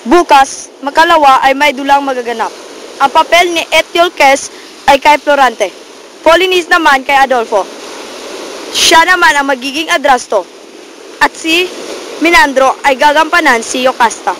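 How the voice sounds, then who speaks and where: A woman's voice: sits high, moderate pace, fairly bright tone, a little hiss or hum, rough recording. A woman playing a teacher who addresses her class with authority and makes announcements, in an amateur drama. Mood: commanding. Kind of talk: amateur student drama acting.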